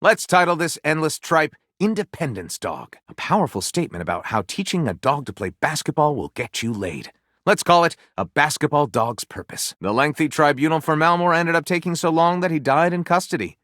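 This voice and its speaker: A theatrical, swooping, wry storyteller. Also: male voice, a little high, animated, a little fast, fairly bright tone, no echo, studio quality. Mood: dry, resigned. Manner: theatrical, wry, mock-grand delivery. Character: glib, theatrical male would-be author narrating pulp stories. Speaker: video game voice actor. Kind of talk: scripted game dialogue, comic narration.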